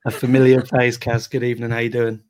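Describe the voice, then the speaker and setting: A man with a croaky voice hosting a casual football podcast and greeting listeners. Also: mid-range voice, level tone, fast talker, a little mellow. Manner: chatty, reads out listener greetings. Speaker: podcast host.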